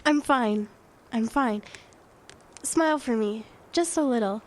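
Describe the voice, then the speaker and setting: A woman's voice: fairly high pitch, takes it slowly. An actress playing a determined, devoted but frightened woman in a blizzard, urgent and pleading, in a horror audio drama. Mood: anxious, soothing. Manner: urgent, pleading, repeating herself. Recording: noisy wind and effects bed.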